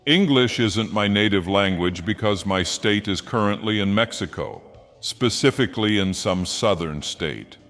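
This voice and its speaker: A man reading an anonymous forum story in a plain, steady voice. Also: on the low side, a little slow, soft background music. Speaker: narrator reading forum posts. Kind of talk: scripted narration.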